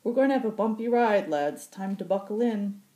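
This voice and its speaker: Woman voicing a cheeky, lecherous old spaceship pilot, pitched up. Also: lively intonation, normal speed, dark tone, close to an everyday voice. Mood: boisterous. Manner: teasing, chatty, salty.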